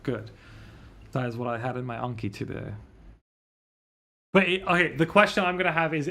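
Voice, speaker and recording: A man's voice: mid-range voice, gravelly, a bit bright. A man livestreaming a visual novel readalong, chatting casually and thinking aloud in a rambling way. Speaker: streamer reading visual novels.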